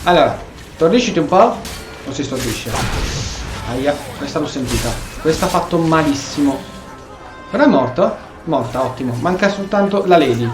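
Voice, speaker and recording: A man's voice: on the high side, expressive, fairly quick, reverberant, music in the background. A man giving casual let's play commentary as he plays a video game. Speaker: gamer narrating a playthrough.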